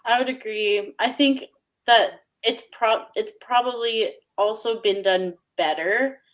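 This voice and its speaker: A woman speaking in a measured, reflective way as a panelist in a casual book discussion. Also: on the high side, fairly bright tone.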